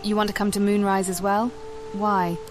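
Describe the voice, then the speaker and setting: A woman's voice: medium pitch, flat delivery, normal speed, music in the background, natural voice. A woman playing a direct, questioning adventurer heroine in a fantasy game. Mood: inquisitive. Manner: direct, inquisitive.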